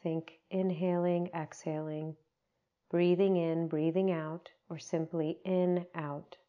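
A woman leading a guided meditation, giving gentle, unhurried instructions with long pauses. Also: lowish voice, little pitch movement, narrow, tinny audio.

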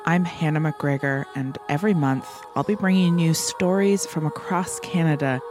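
A woman hosting a podcast, reading a scripted trailer in a measured announcing manner. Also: low-pitched. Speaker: podcast host. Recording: narration over music.

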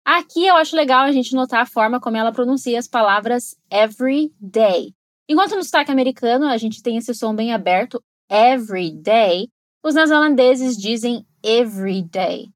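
A woman's voice: fairly high pitch, animated, moderate pace, dry recording, clean studio sound. A woman with a Brazilian Portuguese accent, hosting an educational podcast and explaining things with enthusiasm and asides to the listener. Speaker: podcast host and English teacher. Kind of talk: scripted educational podcast narration.

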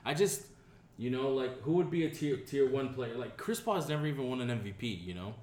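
A man's voice: mid-range voice, lively intonation, a little fast, a little mellow. Joking man co-hosting a podcast, echoey room. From Dominican family. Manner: swearing, joking, rambling with fillers. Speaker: podcast co-host. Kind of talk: casual chat. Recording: echoey room with faint hiss.

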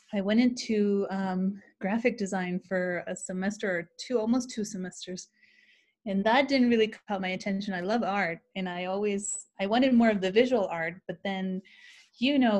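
A woman's voice: mid-range voice, darkish tone. A woman teacher who grew up in Ciudad Juarez and whose first language is Spanish, talking in a casual interview with rambling, self-correcting storytelling. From Mexico-US border, Ciudad Juarez. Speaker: teacher, guest.

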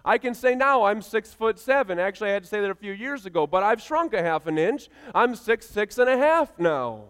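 A man's voice: high voice, big pitch swings, brisk, a bit bright, smooth. A man in his thirties preaching a sermon as a pastor, with emphatic, rhythmic repeated phrases. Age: 30s. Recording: reverberant hall sound.

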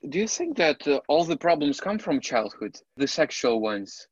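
A man's voice: on the high side, very animated, normal speed, no room sound, highs cut off. A man from Ukraine hosting an interview, speaking hesitantly with many fillers. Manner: hesitant, many uhs, friendly. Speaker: host. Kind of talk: casual interview chat.

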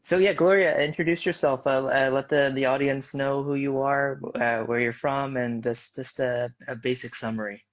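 A man's voice: mid-range voice, steady tone, normal speed, hardly any pauses, highs cut off. Male co-host, twenties, upbeat, friendly, easygoing podcast delivery. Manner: upbeat, friendly, some filler restarts. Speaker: podcast co-host, dental student. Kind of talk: casual podcast hosting.

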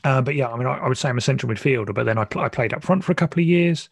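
Guy hosting a casual sports chat, bit of fry in his voice, very dry recording. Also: medium pitch, lively intonation, rapid-fire, no echo. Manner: chatty, self-deprecating. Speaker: host of a football discussion. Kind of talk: casual conversational interview.

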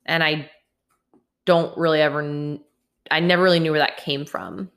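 A woman in her late twenties hosts a podcast alone. Her voice sits low, and she speaks earnestly and openly, sometimes restarting a phrase. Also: fairly even intonation, moderate pace. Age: 20s. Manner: candid, earnest, with some restarts. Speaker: podcast host. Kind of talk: solo podcast monologue.

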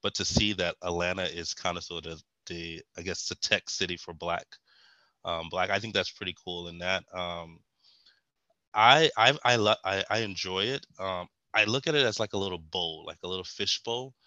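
A young man from Texas talks through a casual interview, wandering from point to point with lots of ums and restarts. His voice sits very low, yet the tone is bright and forceful. The sound is dry and close. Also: moderate pace, dry recording. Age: young adult. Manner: rambling, frequent ums and restarts. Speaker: guest.

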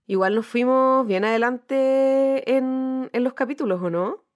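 A woman's voice: high-pitched, a little slow, lo-fi sound. A woman with a Chilean accent co-hosting a podcast in a casual, colloquial, slangy chat. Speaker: podcast co-host.

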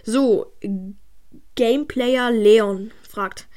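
A woman's voice: on the high side, drawn-out words, a little mellow. A woman from Germany answering viewer questions in a casual chat, stumbling over words and using slang. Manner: frequent stammers and restarts, slangy.

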